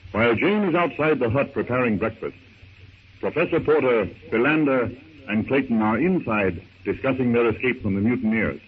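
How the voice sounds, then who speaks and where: A man's voice: medium pitch, normal speed, close to an everyday voice. A man giving scripted, dramatic narration for an old-time radio serial. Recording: tinny, noisy old radio recording.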